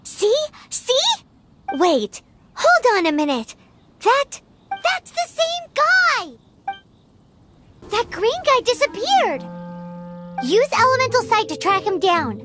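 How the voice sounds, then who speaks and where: A woman voicing a tiny, chirpy, blunt fairy companion girl in a video game, with a squeaky childlike voice. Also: big pitch swings, slow, long pauses, loud music behind the voice, full character voice. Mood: excited, curious.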